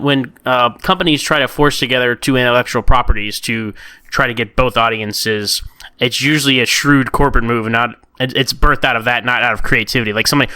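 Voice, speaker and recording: A man in an enthusiastic, casual discussion as a podcast guest, animated and rambling, often repeating words as he thinks. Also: mid-range voice, smooth, dry recording. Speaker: podcast guest commentator on comics.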